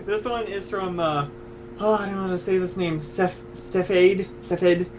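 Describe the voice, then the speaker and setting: A man's voice: high voice, steady tone, normal speed, background noise. A man co-hosting a casual podcast, reading out listener questions with stumbles and giggles.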